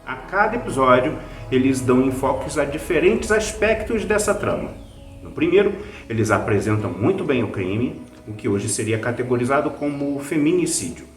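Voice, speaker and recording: Brazilian man narrating with very lively intonation. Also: medium pitch, moderate pace, echoey room, soft background music. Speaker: host, writer and reviewer. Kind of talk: scripted podcast review narration.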